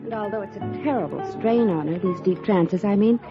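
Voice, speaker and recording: A woman's voice: medium pitch, a little fast, natural voice. An American woman playing an earnest, trusting young society woman in a 1950s radio drama. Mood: earnest, pleading. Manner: earnest, insistent. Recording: dull vintage radio recording, music behind.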